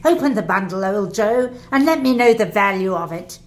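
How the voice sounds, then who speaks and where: A woman's voice: medium pitch, animated, moderate pace, lightly acted. An actress in a community radio play, playing a brazen, callous charwoman with a colloquial, gossiping way of talking. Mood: scornful. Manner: colloquial, indignant, swooping.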